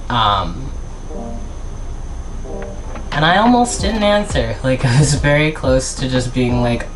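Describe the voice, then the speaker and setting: A man's voice: mid-range voice, lively intonation, slow pace, music in the background, poor audio. A young man from the United States talking in an unscripted, chatty vlog, rambling with many fillers and restarts. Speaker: author and vlogger.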